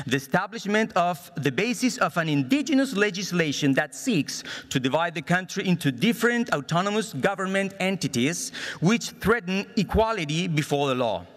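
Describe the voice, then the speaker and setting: Chilean guy w spanish accent reading a formal political speech, pretty high voice, big echoey hall. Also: fairly bright tone. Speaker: conference speaker at a political summit. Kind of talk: formal prepared political speech read aloud. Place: conference hall in Budapest. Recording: reverberant large hall sound.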